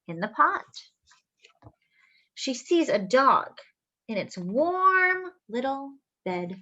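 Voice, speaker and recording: A woman hosting story time, reading a picture book aloud to young children in an expressive, sing-song way, with drawn-out phrases and little asides and questions. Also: sits high, lo-fi sound.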